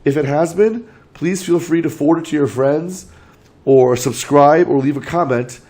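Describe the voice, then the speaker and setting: A man's voice: a little high, a little mellow. A man explaining his market outlook as a channel host, talking through points in order while losing his voice from illness. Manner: measured, lays out points in order.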